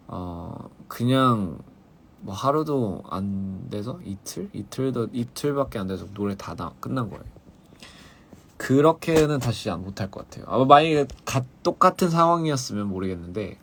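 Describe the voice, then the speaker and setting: A young male musician chatting casually on a phone livestream, halting and searching for words with frequent restarts. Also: fairly low pitch, a little hiss or hum. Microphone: phone livestream mic.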